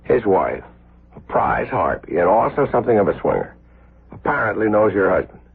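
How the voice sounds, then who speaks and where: Intense surgeon, husky and low. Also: male voice, very animated, normal speed, full character voice. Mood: grim, urgent. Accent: American. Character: intense, earnest male surgeon defending his honour. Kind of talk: 1970s American radio drama acting. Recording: muffled, lo-fi old radio broadcast.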